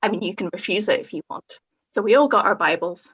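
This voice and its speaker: A woman's voice: mid-range voice, quick pace, a bit bright, husky, low-quality recording. A young woman with a Northern Irish accent, a podcast guest frankly telling her own story with self-mocking humor. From Northern Ireland. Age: young adult. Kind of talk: casual conversation.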